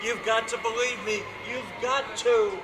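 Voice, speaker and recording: Man playing an agitated, very high-pitched reporter. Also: fairly even intonation, a little slow. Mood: insistent pleading. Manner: urgent, insistent, pleading, as if on telephone. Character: agitated newspaper reporter insisting on an incredible story. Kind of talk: old-time radio drama acting. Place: hotel convention meeting room, live performance. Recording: noisy live convention room recording.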